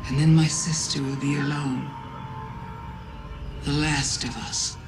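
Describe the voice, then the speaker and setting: Slow, echoing alien witch queen. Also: deep voice, little pitch movement. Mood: wistful, resigned. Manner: slow, reflective, softly insinuating. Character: ancient, wistful, scheming alien witch queen. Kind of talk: dramatic video game monologue. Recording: echoing voice over prominent music.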